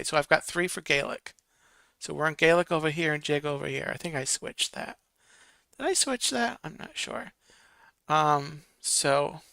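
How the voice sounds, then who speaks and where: A man's voice: fairly high pitch, gravelly, sharp tone, dry recording, clean studio sound. A man hosting a casual live stream, reading viewer comments and counting votes aloud while muttering to himself.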